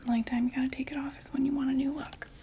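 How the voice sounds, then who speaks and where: A woman whispering a gentle, soothing ASMR roleplay as a friendly makeup saleswoman, often repeating words softly. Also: high voice, level tone, very fast, a little hiss or hum. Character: friendly female vampire door-to-door makeup saleswoman.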